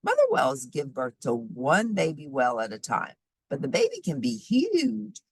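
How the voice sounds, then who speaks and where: A woman reading a children's nonfiction book aloud in an expressive, emphatic, teacherly way, pausing for short explanatory asides. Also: low voice, creaky, slightly rough, no echo. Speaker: read-aloud host.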